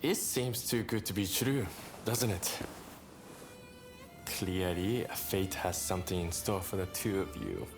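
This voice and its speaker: A man's voice: sits low, animated, a little slow, over music. A man playing a courteous, roguish samurai rebel leader with wry, theatrical phrasing in a video game cutscene. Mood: earnest, measured.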